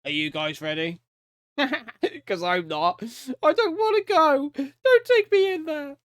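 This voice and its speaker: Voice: high-pitched, animated, slow pace, a bit bright, no room sound, clean studio sound. A reaction video host giving casual, slangy commentary full of exclamations.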